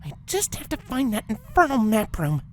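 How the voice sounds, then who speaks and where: A man's voice: extremely high pitch, wildly swooping pitch, moderate pace, darkish tone, slight background noise, pitched above the performer's own voice, full character voice. A man voicing a vengeful young female cartoon pony in a storybook reading, sarcastic and muttering to herself. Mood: scornful.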